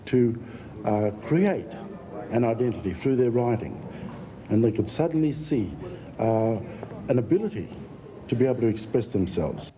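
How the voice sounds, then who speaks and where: A middle-aged Australian man talking reflectively in an interview, in a measured, halting way. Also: medium pitch, animated, a crowd in the background. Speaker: theatre founder, former teacher. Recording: muffled, faintly noisy recording.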